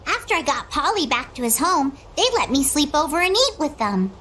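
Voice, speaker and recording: A woman's voice: sits high, very animated, a little fast, a bit bright, a little hiss or hum. A woman voicing a plucky young seafaring girl in a video game, with quaint, matter-of-fact phrasing.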